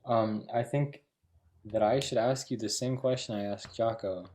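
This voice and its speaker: A man moderating a thoughtful discussion, phrasing his questions in a halting, reflective way. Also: medium pitch, fairly even intonation, a little mellow. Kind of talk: thoughtful post-show interview.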